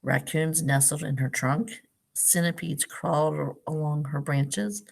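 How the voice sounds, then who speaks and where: A woman with a low voice and a noticeable creak reads a storybook aloud for young children. She addresses them directly, stepping out of the text to explain things simply and ask little questions, in the way of a teacher. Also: unhurried. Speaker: teacher reading a picture book to children.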